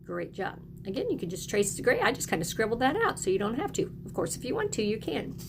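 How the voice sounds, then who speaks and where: A woman's voice: on the high side, big pitch swings, quick pace, creaky, noisy background. A woman teaching young children, encouraging them in short, simple phrases as she reads aloud and explains. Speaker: early elementary teacher.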